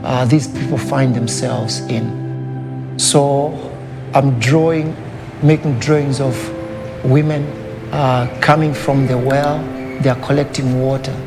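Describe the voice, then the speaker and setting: A middle-aged man speaks in a documentary interview, a Lugala speaker using English, with a gravelly, rough edge to his mid-range voice. He talks thoughtfully, pausing and reaching for words. Loud music runs clearly beneath him. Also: medium pitch, fairly even intonation. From Zambia. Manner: thoughtful, measured, with pauses and fillers. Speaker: artist.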